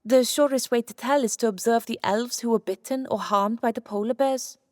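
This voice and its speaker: A woman playing a brisk, clinical scientist wife in an audiobook drama, matter-of-fact and firm. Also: a little high, dry recording, clean studio sound, close to an everyday voice. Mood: clinical, analytical. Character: Santa's brisk, clinical scientist wife, immortal, coolly determined.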